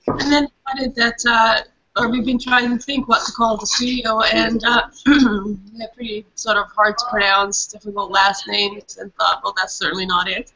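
Bright-voiced woman architect on a call. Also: fairly high pitch. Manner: thoughtful, explaining with fillers. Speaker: architect, interviewee. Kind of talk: casual video-call interview. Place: open-plan office. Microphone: video call. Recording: muffled video-call audio.

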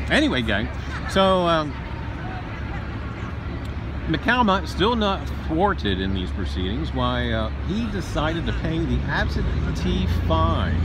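A man hosting an informal walking history tour outdoors, talking to viewers in a folksy, hesitant, rambling storytelling way. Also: a little high. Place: outdoors on city streets and park lawn. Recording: noisy outdoor street with traffic. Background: street traffic and outdoor city ambience.

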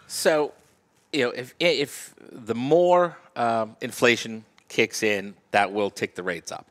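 A man's voice: mid-range voice, slow pace. A man appearing as a real estate guest, giving confident, explanatory interview answers. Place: TV-style studio set.

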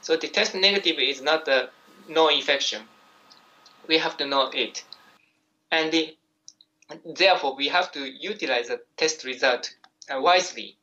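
Japanese-accented man with a bright, muffled voice. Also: on the high side, moderate pace, long pauses. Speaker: infectious disease doctor. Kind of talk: press conference answers.

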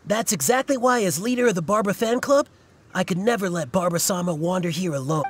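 A man's voice: high voice, quick pace. A man voicing an earnest, self-important young fan club leader in a video game, stammering and fussy. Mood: fussy, determined. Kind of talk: video game character acting.

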